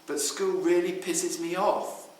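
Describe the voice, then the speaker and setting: A man's voice: high-pitched, steady tone, slow pace, a little mellow, voice pushed up. A British man voicing a fed-up, defiant teenage schoolgirl in an emphatic, grudging way while reading a book aloud. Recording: roomy, distant mic, faint noise.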